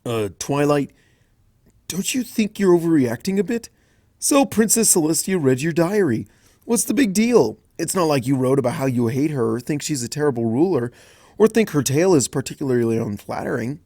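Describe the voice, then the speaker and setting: A man's voice: on the high side, sing-song, normal speed, mellow, no echo, studio quality, pitched above the performer's own voice. A man voicing a laid-back, flippant young male baby dragon who drawls and teases. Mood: teasing.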